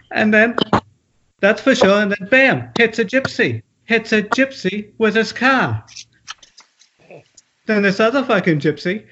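A man's voice: high voice, fairly bright tone. A man playing a manic, nervy 1980s horror novelist in a screenplay table read, rambling and repeating himself with punchy, profane bursts. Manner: frantic, rambling, repetitive, punchy exclamations, profane. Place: at home on a group internet call. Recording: muffled, noisy internet call with clicks.

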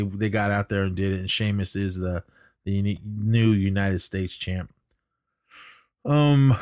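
Low-voiced middle-aged man chatting, dry close sound. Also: no room sound. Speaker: podcast co-host. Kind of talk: casual chat reviewing wrestling.

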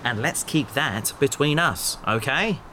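A man's voice: mid-range voice, expressive, measured pace, background noise, close to an everyday voice. A man voicing a cheerful, cheeky male crew member who jokes in a breezy way. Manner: breezy, joking. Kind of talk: audio drama acting.

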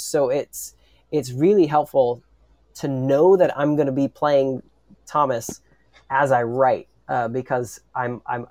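A man with a mid-pitched voice in a dry recording. He speaks earnestly and reflectively, feeling his way through phrases and repeating words, as a writer and actor on a panel would. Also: darkish tone, no echo. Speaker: writer and voice actor on an audio drama.

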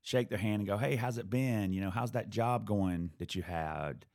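Low-voiced thoughtful podcast host man. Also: moderate pace, a little mellow. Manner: thoughtful, steers with questions. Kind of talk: casual conversational podcast.

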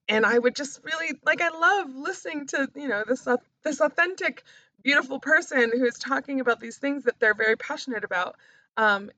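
A woman speaking as a coach and podcast host, sharing personal reflections in a candid, confessional way, halting and stressing key words. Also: mid-range voice, sharp tone, no echo, lo-fi sound. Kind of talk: personal reflective talk to camera.

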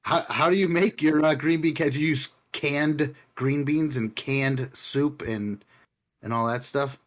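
A man's voice: fairly high pitch, a little slow. A man co-hosting a casual sports podcast, blunt and sarcastic, swearing freely. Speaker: podcast co-host. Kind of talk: casual chat.

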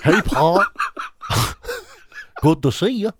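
A man's voice: sits high, lively intonation, slow, vocal fry, breathy edge, heavily acted. A man voicing a sentimental, folksy yokel with a caricature American accent in comic banter.

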